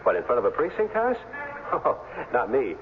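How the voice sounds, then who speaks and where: An actor playing an easygoing, wry ex-football player of thirty in a 1970s radio drama. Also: male voice, mid-range voice, huge pitch swings, normal speed. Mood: wry, joking. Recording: tinny 1970s radio, faint noise.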